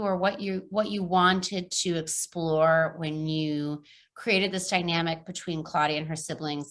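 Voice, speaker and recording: A woman hosts an author conversation and asks long, carefully built questions. Between answers she keeps the exchange going with quick, encouraging affirmations. Her voice is slightly low for a woman. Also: a bit bright. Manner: engaged, frequent short affirmations. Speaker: interviewer. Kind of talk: conversational interview.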